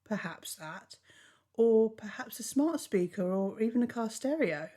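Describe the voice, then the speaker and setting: A woman's voice: fairly high pitch, animated, unhurried, mellow, pitched above the performer's own voice. A woman voicing a proper, dry-witted female university teacher. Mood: calm.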